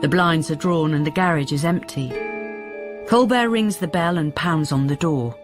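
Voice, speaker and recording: A woman's voice: sits low, moderate pace, close to an everyday voice. A woman giving scripted narration for a radio drama in the present tense. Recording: music clearly behind the voice.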